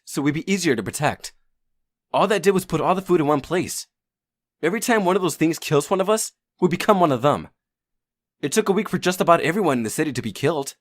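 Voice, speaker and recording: Guy playing streetwise young survivor in a comic dub, watchful, voice a bit high and swings around a lot. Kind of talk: dubbed comic drama acting.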